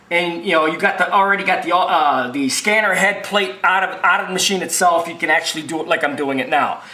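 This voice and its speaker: A man's voice: sits high, pushed and bright. A man giving an informal repair tutorial as a technician, rambling and repeating himself in a folksy, emphatic way. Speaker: video machine repair technician and presenter. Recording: roomy, echoing sound.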